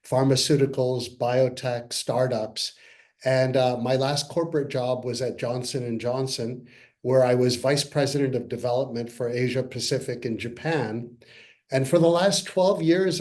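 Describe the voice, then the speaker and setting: A man in his sixties from Canada, a company co-founder earnestly pitching to investors in a webinar over a video call. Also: a little mellow. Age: 60s. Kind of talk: webinar presentation. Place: parents' home, by video call.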